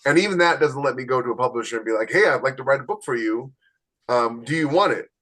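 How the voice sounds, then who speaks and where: Guy cohosting a podcast, medium pitch, chatty, really leans on his points. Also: lively intonation, fast, a bit bright. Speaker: podcast co-host, indie author. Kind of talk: casual chat about publishing.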